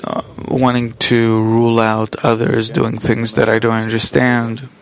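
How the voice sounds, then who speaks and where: A man interpreting a spiritual lesson live into English, speaking in measured, halting phrases with pauses mid-sentence. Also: mid-range voice, monotone, a little hiss or hum. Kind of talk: simultaneous interpretation from another language.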